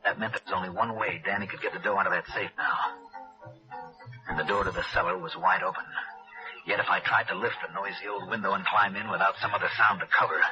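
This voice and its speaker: A man's voice: low voice, fairly even intonation, fast, close to an everyday voice. An American man playing a cool, guarded hard-boiled insurance investigator in 1960s radio noir style. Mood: tense, measured. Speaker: narrator and lead actor. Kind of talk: 1960s radio noir acting, first-person narration. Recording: dull old radio recording with music.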